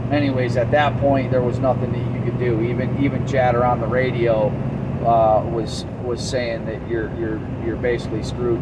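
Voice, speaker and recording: A man speaks in a very flat, even tone, like a trucker sharing advice off the cuff. His delivery is halting and plain-spoken, with folksy slang. He sounds distant from the microphone, under loud vehicle and rushing-air noise. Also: medium pitch. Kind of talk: casual off-the-cuff vlog storytelling.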